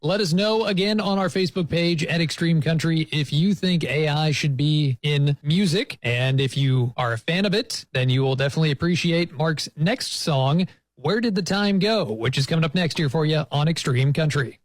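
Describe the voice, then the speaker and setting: A man's voice: on the high side, normal speed, no echo. A man hosting on the radio, interviewing a guest and introducing songs. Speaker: radio host.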